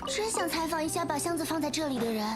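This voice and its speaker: A bright young anime game heroine with an extremely high voice. Her lines come quick and swoop up and down, with loud music behind them. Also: lively intonation. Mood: bright, playful. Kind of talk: Chinese video game voice acting.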